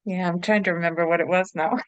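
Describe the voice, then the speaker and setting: A woman's voice: lowish voice, steady tone, fairly quick, clear, resonant tone, no echo, highs cut off. Woman with dry, close sound asking casually. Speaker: audience member asking a question. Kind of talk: casual question.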